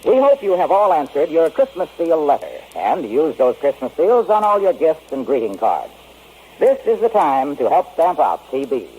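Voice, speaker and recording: A man's voice: fairly high pitch, very animated, moderate pace, a little mellow, husky, poor audio. A man reading a scripted vintage radio commercial as the announcer.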